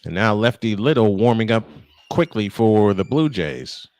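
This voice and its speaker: A man's voice: lowish voice, animated, moderate pace, darkish tone, no echo. Male baseball play-by-play announcer, dry close sound. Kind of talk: live sports commentary.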